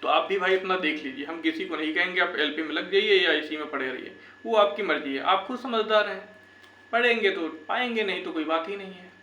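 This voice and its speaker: High-pitched young man, echoey room. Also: a little fast, bright, forceful tone. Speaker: YouTube channel host for exam candidates. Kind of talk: informal advisory talk to viewers. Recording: echoey room with faint background noise.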